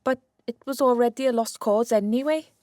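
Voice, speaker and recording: A woman's voice: on the high side, brisk, warm tone, dry recording, clean studio sound, close to an everyday voice. A woman playing Santa's centuries-old wife, a practical, exasperated but caring inventor, matter-of-fact and bossy in an audiobook.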